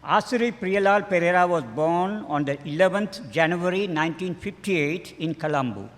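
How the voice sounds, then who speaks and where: An older man with a Sri Lankan accent reading a formal tribute as a clergyman, measured and a little halting. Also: high-pitched. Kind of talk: formal read-aloud biographical tribute. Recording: long hall reverb.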